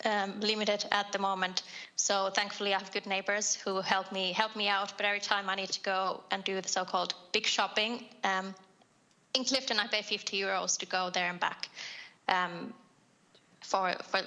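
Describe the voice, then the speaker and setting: A woman from Finland giving testimony to a committee, hesitant, with many pauses and ums. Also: mid-range voice, sharp tone, low-quality recording. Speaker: committee witness. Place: committee room.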